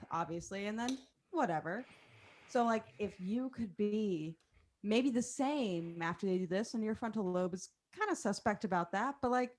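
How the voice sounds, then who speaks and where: A woman's voice: mid-range voice, slight background noise. A woman in casual group banter, talking in a brazen, joking way and swearing freely. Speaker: guest host on a comedy podcast. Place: remote video call.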